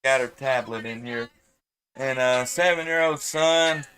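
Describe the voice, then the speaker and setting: A man's voice: mid-range voice, a little slow, pushed and bright, slight background noise. A man, chatting casually and rambling as a ham radio operator on a headset microphone. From United States. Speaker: amateur radio operator and live stream host. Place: at home indoors.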